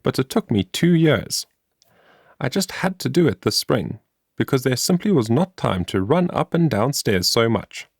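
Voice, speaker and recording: A man's voice: mid-range voice, big pitch swings, moderate pace, gravelly, no echo, clean studio sound. A man voicing a cheerful, industrious young woman in a storybook, in a chatty, earnest manner. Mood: earnest, musing. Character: cheerful, industrious young woman explaining her homemade invention.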